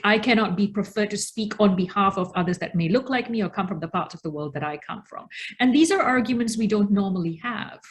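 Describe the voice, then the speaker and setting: A woman from Australia, around her forties, giving a reflective webinar talk as an invited researcher, speaking deliberately and stressing key words. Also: medium pitch.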